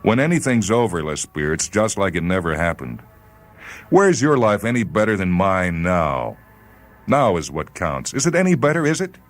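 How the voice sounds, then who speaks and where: A man performs a doomed spaceman in a dramatic story reading, bitter and jealous. His urgent voice swings widely in pitch, pushed higher as he taunts, with music underneath. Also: sing-song, moderate pace. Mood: bitter, taunting. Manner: urgent, pressing, shouting into a telephone.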